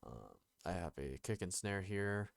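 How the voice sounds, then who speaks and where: A young man with a nasal voice, recovering from being sick, talking casually through a music production tutorial with many pauses and fillers. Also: sing-song, creaky, no echo, studio quality. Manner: halting, many ums and restarts, low-key. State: recovering from illness. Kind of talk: casual screen-recorded tutorial.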